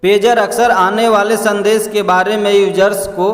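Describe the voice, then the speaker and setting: A man reads a scripted explainer in a halting way, addressing listeners as friends. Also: high voice, level tone. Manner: halting read-aloud delivery, often addressing listeners as friends. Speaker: presenter narrating an explainer. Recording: echoey room with long reverb.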